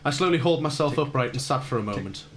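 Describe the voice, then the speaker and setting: Weary, wordy actor as a reluctant riser. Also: male voice, medium pitch, expressive, fast talker, fairly bright tone, natural voice. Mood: weary, grudging. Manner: wordy, formal, introspective. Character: weary, fussy man grudgingly waking too early. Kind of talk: radio play monologue.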